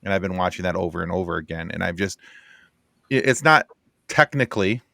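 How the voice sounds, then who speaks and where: Male panelist, low register, dry recording, casual and halting while musing aloud. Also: expressive, creaky, bright, forceful tone, dry recording. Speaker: podcast panelist.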